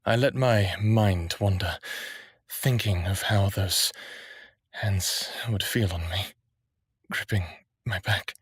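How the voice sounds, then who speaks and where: A man's voice: lowish voice, slow pace, breathy edge, no room sound, heavily acted. An actor playing a stubborn, lovestruck smartass of a human man in his thirties, narrating his own story in an intimate audio drama. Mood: yearning. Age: 30s. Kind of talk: first-person audio drama narration and dialogue.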